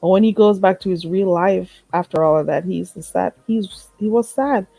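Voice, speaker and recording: A woman's voice: lowish voice, moderate pace, creaky, warm tone, no room sound, faint music behind. A woman hosting a late night live chat with viewers. She talks in a chatty, emphatic way, repeats herself, and sounds stuffy and a little nasal. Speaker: live stream host.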